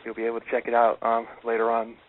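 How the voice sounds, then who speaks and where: A man's voice: medium pitch, completely flat delivery, fast, slight background noise. A man co-hosting a loose talk show, chatting casually and rambling with lots of fillers and restarts. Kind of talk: casual chat. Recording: tinny phone-like internet radio.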